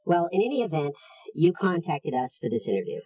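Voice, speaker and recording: A woman's voice: sits low, big pitch swings, brisk, mellow, breathy edge. A woman interviewing someone, pressing her questions in a persistent, politely challenging way.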